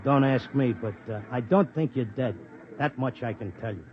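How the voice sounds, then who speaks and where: A cynical racetrack tout, played by a man with a medium-pitched voice, narrating in wry slang. It is a muffled fifties radio drama recording with prominent music behind. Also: moderate pace, lightly acted. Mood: matter-of-fact. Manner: slangy, wry, brusque wise-guy talk. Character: streetwise, cynical racetrack gambler narrating his story. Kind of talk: 1950s radio drama first-person narration.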